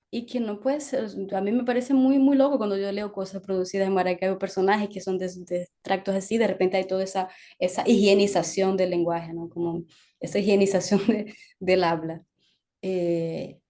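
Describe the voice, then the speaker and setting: A woman novelist from Venezuela talking through her ideas in a relaxed discussion, full of restarts and tag questions. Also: medium pitch. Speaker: novelist guest. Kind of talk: conversational discussion.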